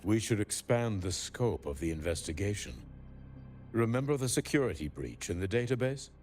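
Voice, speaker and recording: An actor voicing a suspicious, needling professor in a video game, measured, insinuating and condescending. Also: male voice, lowish voice, expressive, darkish tone, faint music behind, close to an everyday voice. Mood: cold, accusing. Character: suspicious, needling male academy professor, coldly probing.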